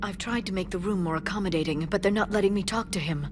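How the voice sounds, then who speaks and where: An actress playing an earnest, scholarly alien scientist with a gentle, careful manner. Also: female voice, medium pitch, fast talker, music in the background, natural voice. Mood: frustrated.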